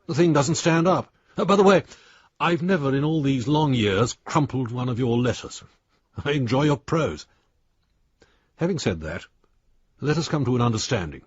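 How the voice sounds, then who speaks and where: A man's voice: medium pitch, animated, normal speed, pauses often, breathy edge, dry recording, dull audio. An actor playing a crusty older lawyer in a radio drama, reading out his letter in a blunt, dry, precise manner. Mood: exasperated. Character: crusty, exasperated older lawyer, loyal old friend.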